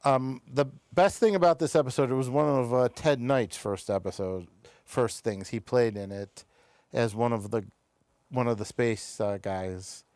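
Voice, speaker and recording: A man hosting a casual review discussion, talking in a halting way that often trails off and picks up again. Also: medium pitch, a little mellow, no room sound. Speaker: show host reviewing television episodes.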